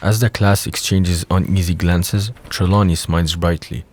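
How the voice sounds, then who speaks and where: A man with a notably deep voice serves as narrator of an audio drama. He reads scripted scene descriptions and stage directions between the dialogue. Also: fairly even intonation, normal speed, darkish tone.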